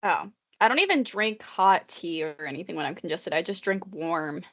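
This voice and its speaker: A woman's voice: on the low side, expressive, moderate pace, a bit bright. A young woman co-hosting a podcast, chatting casually and rambling with many fillers. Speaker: podcast co-host. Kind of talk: casual chat. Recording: muffled lo-fi recording.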